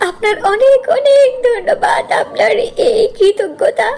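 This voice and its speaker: Woman voicing a very high-pitched, tearful cartoon mother. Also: a little slow, vocal fry, darkish tone, full character voice. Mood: grateful, tearful. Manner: plaintive, affectionate, emotional. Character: doting, tearful mother of five brides. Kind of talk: cartoon story character acting.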